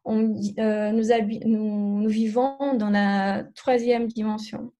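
A Brazilian woman speaking French with a Brazilian accent, a therapist guest in a podcast interview, talking hesitantly with many pauses and restarts. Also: mid-range voice, level tone, mellow. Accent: Brazilian Portuguese.

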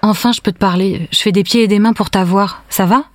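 Une comédienne en mère inquiète, débit rapide. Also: female voice, medium pitch, steady tone, no echo, lightly acted. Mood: worried. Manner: concerned, questioning. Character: anxious, doubting wife and mother of rescue worker. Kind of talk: audio drama acting.

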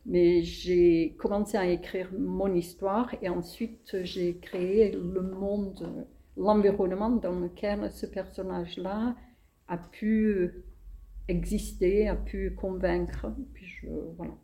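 A playwright speaks with a non-native French accent, slowly and deliberately. Her voice is warm and mellow, and she often pauses to find the next word. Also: mid-range voice, steady tone. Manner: hesitant, thoughtful, many pauses. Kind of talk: interview answers.

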